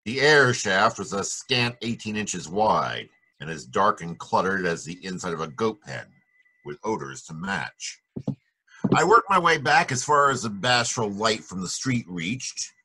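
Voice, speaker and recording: A man playing a hard-boiled private detective in a 1940s-style radio drama, wry, brisk and tough-talking, with a husky voice. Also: lowish voice, big pitch swings, pushed and bright, exaggerated voice, low-quality recording. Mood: wry narrating.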